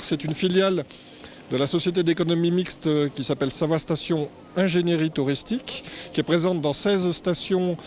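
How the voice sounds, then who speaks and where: A man with a slightly high voice explains things formally, like a manager being interviewed. His delivery is measured, and there is faint outdoor noise behind him. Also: darkish tone. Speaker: ski area manager.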